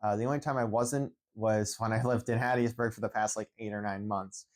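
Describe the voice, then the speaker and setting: A young man from Central Pennsylvania with a fairly low voice talks off the cuff into his phone. He rambles, restarts sentences and leans on "um" and "like" as he goes. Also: fairly even intonation, a little fast. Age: young adult. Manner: rambling, many restarts and fillers. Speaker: esports head coach. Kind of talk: unscripted vlog monologue.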